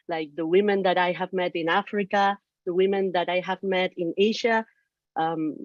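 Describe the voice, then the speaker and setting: Panelist: spanish-accented woman, lower voice, halting and earnest, dry muffled video-call audio. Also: a little mellow, no echo. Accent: Spanish (Canary Islands). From Canary Islands, Spain. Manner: earnest, halting with many fillers and restarts. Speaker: panelist, entrepreneur. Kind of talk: panel discussion.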